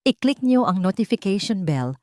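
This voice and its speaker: A woman reading a scripted dramatic story as a narrator, with earnest, sentimental delivery and deliberate phrasing. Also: medium pitch, sing-song, warm tone, no echo, studio quality.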